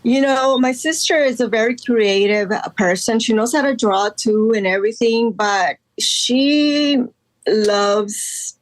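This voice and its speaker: A woman's voice: on the high side, takes it slowly, dry recording, low-quality recording. Woman from Guatemala, dry close sound. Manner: rambling, lots of fillers and laughs. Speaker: guest artist. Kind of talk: casual interview conversation.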